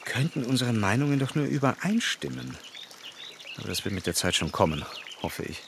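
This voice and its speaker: An actor playing an earnest, tender English gentleman in love in a period radio play, gentle and measured. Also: on the low side, sing-song, creaky, a little hiss or hum. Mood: concerned, gentle. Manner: gentle, measured, formally polite. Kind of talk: German radio play acting of period novel.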